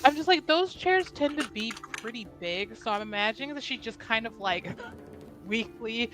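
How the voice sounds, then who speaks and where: A woman's voice: fairly high pitch, animated, moderate pace. A woman playing in a tabletop game, describing her character's actions in a chatty, rambling way and laughing often. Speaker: player. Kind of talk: casual tabletop game play.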